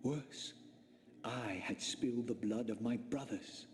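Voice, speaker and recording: An actor playing a bitter, eloquent undead vampire, narrating a video game with grave, measured, theatrical diction. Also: male voice, mid-range voice, lightly acted. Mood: brooding, grave. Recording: reverberant hall, faint noise.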